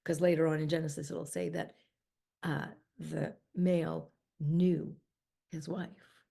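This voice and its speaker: A woman giving a keynote lecture at a conference, measured and explanatory, repeating key points with emphasis. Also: deep voice, little pitch movement, creaky, mellow, husky. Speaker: keynote speaker. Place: conference hall.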